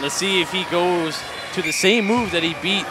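A man's voice: high-pitched, moderate pace. A man giving colour commentary on a hockey shootout, breaking down each shot with eager praise. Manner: enthusiastic analysis between plays. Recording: crowd and arena noise behind.

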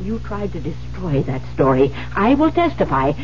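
An actress playing an embittered, obsessive secretary in a 1970s radio drama, intense, melodramatic and vengeful. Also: female voice, on the low side, sing-song, moderate pace. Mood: cold, threatening. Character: embittered, obsessive secretary, a menacing adoring spinster. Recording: dull lo-fi 1970s radio broadcast, hum.

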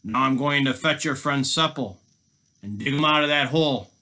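Man playing a jeering, forceful storybook robber. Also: mid-range voice, normal speed, pitched above the performer's own voice, close to an everyday voice. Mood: gloating. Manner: mock-sweet taunting, emphatic. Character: jeering boastful male robber villain, gloating and bullying. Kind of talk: children's storybook voice acting.

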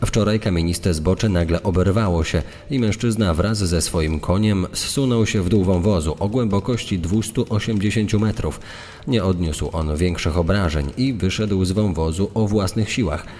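A man's voice: sits low, normal speed, a little mellow, lightly acted. A man playing a sober news reporter, giving a formal, factual report in a podcast.

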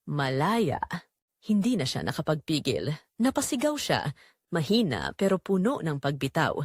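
A woman reading a scripted first-person audio drama in a dramatic, measured way with short pauses. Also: fairly low pitch, no room sound, studio quality. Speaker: narrator.

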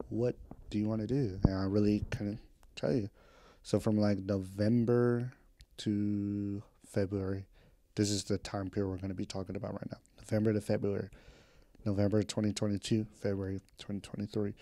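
A man's voice: fairly low pitch, warm tone, no echo, clean studio sound. A man in his twenties casually catching up with his audience, rambling and candid, with slang and the odd swear. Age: 20s. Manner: rambling, candid, drifts between topics. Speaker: vlogger. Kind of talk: casual catch-up podcast talk. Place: home.

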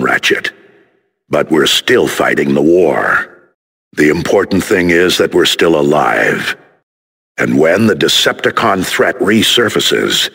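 A giant heroic robot leader from a video game. He speaks slowly and solemnly, with a forceful, husky edge and resolute authority. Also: extremely low pitch, heavily acted. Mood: solemn resolve. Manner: solemn, commanding, measured.

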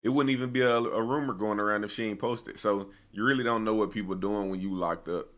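A man's voice: fairly low pitch, steady tone, fast. A young American man giving casual, slangy reaction commentary with opinionated, conversational delivery. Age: young adult. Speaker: reaction video host.

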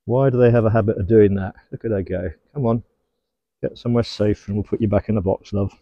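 A man's voice: on the low side, fairly even intonation, quick pace, no room sound, lo-fi sound. A middle-aged man working at his beehives outdoors in a garden apiary, giving easygoing running commentary and talking fondly to the bees. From England. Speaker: beekeeper. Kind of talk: hands-on commentary while working.

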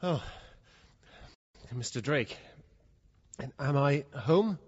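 An actor playing a feverish, haunted English gentleman in an audio drama, moving between dazed narration and panic. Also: medium pitch, expressive, drawn-out words, husky, heavily acted. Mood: confused, wry. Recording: muffled, noisy recording.

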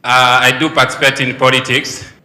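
A man's voice: mid-range voice, level tone, brisk, sharp tone. A man from Zambia answering a prophet with deferential, earnest replies into a handheld mic. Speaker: congregant. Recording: roomy hall with faint ambient noise.

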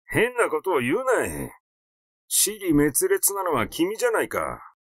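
A man's voice: high voice, huge pitch swings, measured pace, dry recording, clean studio sound, voice pushed up, exaggerated voice. A man voicing a flustered, easily irritated male doctor in a story reading, hesitant, rambling and grumbling.

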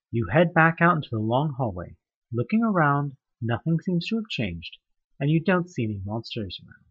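A man tells a horror story straight to the listener, his voice swinging widely in pitch as he builds dread. His tone is slightly husky. The recording is dull and lo-fi. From United States. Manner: tense, dramatic storytelling with rising questions. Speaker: narrator of a fan audioplay. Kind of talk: second-person horror narration.

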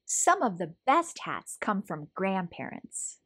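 A woman reading a children's picture book aloud as a storytelling host, in an expressive manner for young listeners. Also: medium pitch, slow. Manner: animated, clearly paced storytelling for young children.

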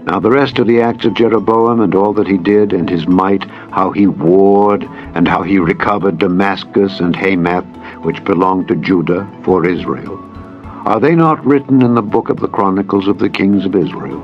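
A man reads scripture as a solemn dramatized narration, in a measured, formal manner with deliberate phrasing. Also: low voice. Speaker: Bible narrator. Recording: dull lo-fi audio, music behind. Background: background music.

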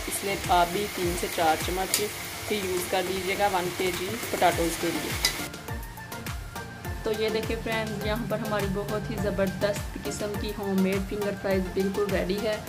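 A woman gives a plain, instructional step-by-step recipe voice-over as a home cooking host. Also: mid-range voice. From Pakistan. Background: music behind the voice.

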